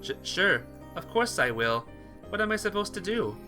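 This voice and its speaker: A man's voice: sits high, very animated, moderate pace, over music, voice pushed up. A man playing an earnest, caring teenage boy in a visual novel, a little hesitant and worried. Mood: hesitant, puzzled.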